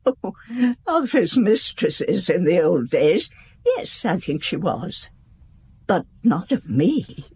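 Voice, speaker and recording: An actress playing an elderly aristocratic widow, gracious, formal and wistful, speaking frankly in a radio drama. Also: female voice, fairly low pitch, big pitch swings, darkish tone, slightly rough, heavily acted. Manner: formal, composed, unhurried, frank.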